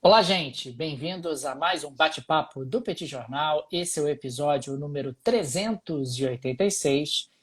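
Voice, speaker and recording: A man's voice: a little high, moderate pace, hardly any pauses, fairly bright tone, breathy edge. A man co-hosting a casual live-streamed chat podcast, telling stories animatedly and laughing often. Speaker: podcast co-host. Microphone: streaming live on social media.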